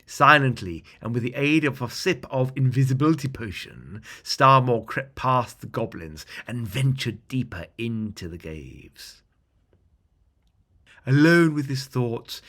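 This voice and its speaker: Casting: male bedtime-story narrator, mid-pitched and very expressive, dramatic and deliberate fantasy storytelling.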